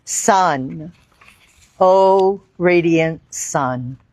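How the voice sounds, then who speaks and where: A woman teaching yoga, leading a guided meditation in deliberate, reverent phrases with long pauses. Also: on the low side, darkish tone, no room sound. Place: outdoor park.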